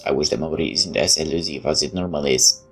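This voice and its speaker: A woman's voice: extremely low pitch, fast talker, breathy edge, faint music behind. A woman, a native Polish speaker, reading game narration aloud and commenting casually as a streamer, often stumbling and correcting herself. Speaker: let's play streamer.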